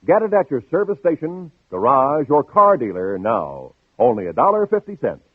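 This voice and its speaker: Animated American vintage radio pitchman. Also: normal speed, breathy edge, dry recording. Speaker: radio commercial announcer. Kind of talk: vintage radio advertisement, emphatic sales read. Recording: narrow, dry old radio recording.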